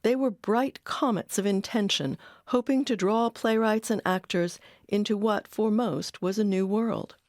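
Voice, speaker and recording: A woman with an American accent giving scripted documentary narration in a measured, articulate way. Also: mid-range voice, a little mellow.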